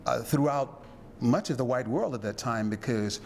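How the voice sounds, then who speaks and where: A man interviewing a guest, speaking in a reflective, halting way with frequent fillers and restarts. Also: mid-range voice, darkish tone, a little hiss or hum.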